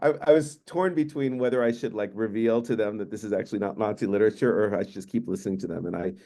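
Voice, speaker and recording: A man in his fifties, a native Hungarian speaker, moderating an online panel discussion over a video call and telling stories in a relaxed, measured way. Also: lowish voice.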